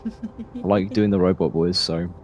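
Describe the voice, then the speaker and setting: Very deep-voiced man as game master. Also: steady tone, moderate pace, dull audio. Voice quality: low voice. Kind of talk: tabletop game narration and casual chat. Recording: soft video game music behind. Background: soft video game music.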